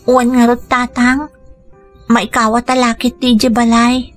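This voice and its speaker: A woman's voice: on the high side, flat delivery, measured pace, faint music behind, natural voice. An actress playing a tender, hesitant, emotional young woman in a radio drama. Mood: concerned. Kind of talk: Ilocano radio drama acting.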